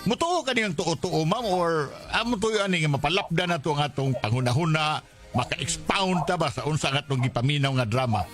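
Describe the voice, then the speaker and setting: A man's voice: on the high side, fairly quick, a bit bright. A man with a Cebuano accent hosting a radio show, chatting casually with callers. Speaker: radio host. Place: radio studio. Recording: music playing behind the voice. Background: music.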